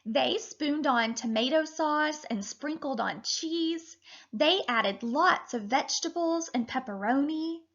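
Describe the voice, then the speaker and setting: A woman in her thirties, a teacher reading and talking to children in an animated, deliberate way. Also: high-pitched, muffled recording. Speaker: teacher reading a picture book aloud to children. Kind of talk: expressive children's read-aloud and lesson.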